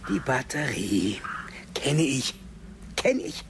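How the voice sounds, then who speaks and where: A man's voice: mid-range voice, very animated, slow, background noise. An actor playing a lonely, rambling older village man in a radio play. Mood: chatty. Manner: rambling, eager to talk.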